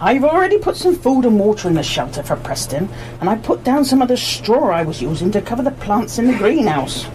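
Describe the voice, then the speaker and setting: A woman playing a practical, caring householder in an amateur radio play, expressive and matter-of-fact. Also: a little high, brisk, hardly any pauses. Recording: noisy live amateur radio broadcast recording.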